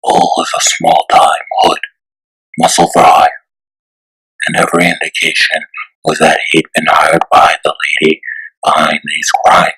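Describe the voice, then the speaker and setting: An actor playing a brooding, menacing vigilante detective in a superhero comic drama, speaking tersely and deliberately. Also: male voice, very deep voice, completely flat delivery, sharp tone, breathy edge, full character voice, rough recording. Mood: grim, measured. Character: grim brooding male masked vigilante detective. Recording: music behind the voice.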